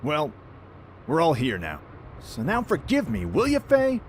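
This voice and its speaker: A man's voice: fairly high pitch, huge pitch swings, unhurried, slight background noise. A man playing a brash, playful young pirate master with a boisterous, casual way of talking in an audio drama. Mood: cocky, playful.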